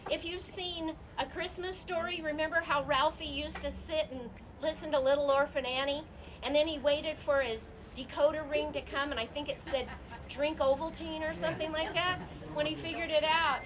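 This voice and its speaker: Female stage host, very high voice, informal live welcome to a theater audience, clearly noisy recording. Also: fairly even intonation, moderate pace. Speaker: host introducing a theater company's show.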